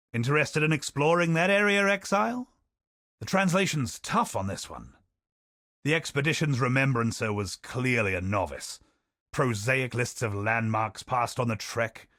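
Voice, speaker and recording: Wry scholarly explorer in a game, lively up and down voice, dry close studio sound. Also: male voice, mid-range voice, no echo. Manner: dry wry asides, measured storytelling delivery. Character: scholarly male explorer, curious, wry, honor-seeking. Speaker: expedition companion character. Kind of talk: studio video game voice lines.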